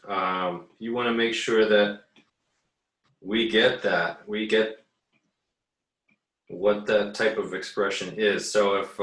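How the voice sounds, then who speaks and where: A man with a very low but bright, clear-edged voice talks through a drawing demo like a teacher. He is easygoing and hesitant, thinking aloud as he goes. The sound is echoey, as if he sits back from the mic in a room. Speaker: art teacher giving an assignment demo. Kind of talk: casual screen-recorded drawing tutorial. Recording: roomy, echoey, distant mic.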